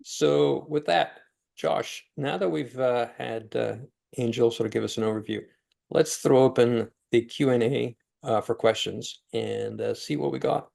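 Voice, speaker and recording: A man hosting a webinar who asks questions in a halting way, with many ums and uhs. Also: mid-range voice, creaky, a little mellow. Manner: halting, filler-heavy questioning. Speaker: webinar host.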